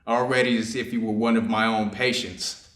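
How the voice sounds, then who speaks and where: A man's voice: on the low side, fairly even intonation, normal speed, a bit bright, close to an everyday voice. A man playing a stern, conflicted Japanese doctor treating a wounded enemy in a staged play reading. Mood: absorbed, conflicted. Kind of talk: staged readers' theater acting. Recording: echoey room.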